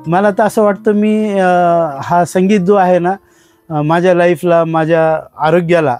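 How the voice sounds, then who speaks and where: A man's voice: sits high, flat delivery, measured pace, darkish tone, soft background music. A man talks informally as a collector, explaining his items in short phrases. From India. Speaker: radio collector being interviewed.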